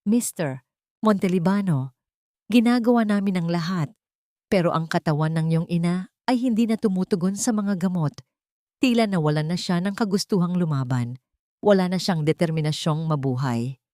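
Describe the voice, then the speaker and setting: Woman voicing a grave, formal hospital doctor, lowish pitch. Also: moderate pace, dark tone, no echo, studio quality, natural voice. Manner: formal, serious. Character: grave hospital doctor delivering bad news. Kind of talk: audio drama storytelling.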